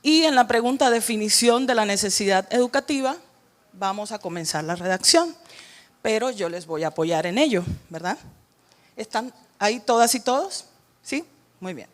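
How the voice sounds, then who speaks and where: A woman's voice: a little high, expressive, normal speed. Cuban woman leading a workshop. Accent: Cuban Spanish. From Cuba. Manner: guiding, checks in with "¿verdad?" and "ujú". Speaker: workshop trainer. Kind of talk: online training workshop.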